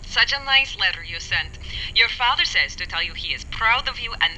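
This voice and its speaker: A woman's voice: a little high, expressive, normal speed, sharp tone, a little hiss or hum, poor audio. A woman playing a doting, gossipy mother who chats and nags affectionately in a radio drama, heard as a voice message through a small speaker. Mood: affectionate, fussing. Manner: affectionate, nagging, chatty. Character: doting, fussy, gossipy mother of a grown son. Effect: played as a voice message over a small speaker.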